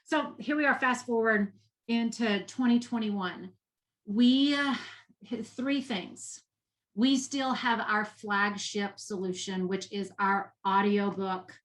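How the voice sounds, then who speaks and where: A woman's voice: medium pitch, steady tone, slow pace, a bit bright. This is a woman with a Texas accent, talking as an interview guest. She speaks with conviction and uses repetition to drive her points home. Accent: Texan. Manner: passionate, emphatic, repeats for emphasis. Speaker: guest, nonprofit education executive.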